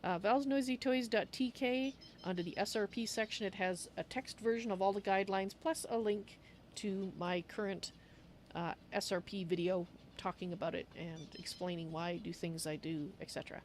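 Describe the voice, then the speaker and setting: A woman's voice: mid-range voice, steady tone, moderate pace. Woman livestreamer chatting over background noise. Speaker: livestream host. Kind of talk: casual livestream chat.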